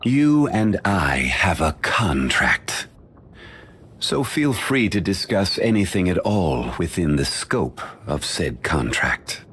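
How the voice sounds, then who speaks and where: An actor voicing a dignified, ancient, learned man in a video game, speaking in a measured, formal and courteous way. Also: low-pitched, big pitch swings, a bit bright, husky, full character voice. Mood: calm, formal.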